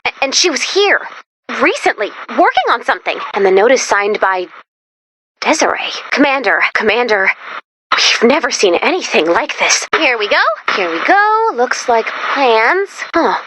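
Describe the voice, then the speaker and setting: A chipper female robot helper for a video game, nerdy, eager and quirky. The voice is high and bright, with lively swings in pitch. She sounds expressive and keen to get on with the job. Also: moderate pace, rough recording. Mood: intrigued, serious. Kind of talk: video game character voice lines.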